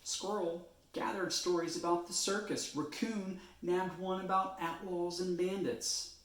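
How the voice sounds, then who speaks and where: A man reading a children's storybook aloud in an expressive way, stretching words for emphasis. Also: sits high, reverberant, rough recording. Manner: expressive, drawn-out phrasing with emphasis.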